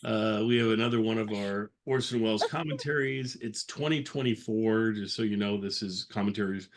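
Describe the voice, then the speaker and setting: Male podcast host, fairly low voice, relaxed conversational chat that rambles a little. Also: a little fast. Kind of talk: casual chat.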